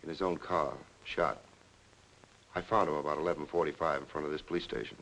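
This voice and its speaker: A man's voice: extremely low pitch, fairly even intonation, brisk, a bit bright. A man playing a curt, businesslike police lieutenant in a 1940s crime film, with a clipped, authoritative manner. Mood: cool, probing. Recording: noisy old 1940s film soundtrack.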